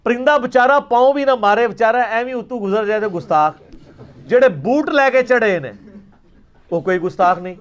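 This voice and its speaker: A man's voice: very high-pitched, moderate pace, smooth, lo-fi sound. A man with a Pakistani Punjabi accent answering religious questions in an informal lecture, arguing emphatically with rhetorical questions and slipping into Punjabi.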